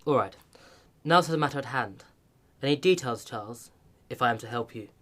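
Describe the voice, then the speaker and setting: An actor playing a stiff-upper-lip Edwardian chief constable with a British accent, brisk and controlled, in a radio play. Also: male voice, mid-range voice, animated, fairly bright tone, lightly acted. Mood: firm, businesslike.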